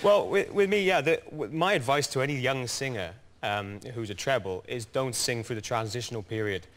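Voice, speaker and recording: A man in his twenties, a singer, chatting as a guest in a TV studio in a relaxed, earnest way. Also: medium pitch, normal speed, a little hiss or hum. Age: 20s. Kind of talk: casual TV chat.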